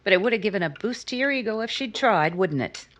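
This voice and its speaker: An actress playing a guarded, bitterly proud lonely woman in a romantic 1950s-style radio drama, with measured, pointed, probing delivery. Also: medium pitch, animated, fairly bright tone, natural voice. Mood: probing, sardonic.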